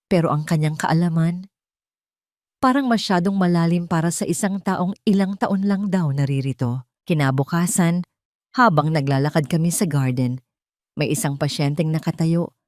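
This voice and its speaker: A woman's voice: lowish voice, a little mellow, no room sound, clean studio sound. A woman reading a scripted, dramatic first-person story. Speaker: story narrator.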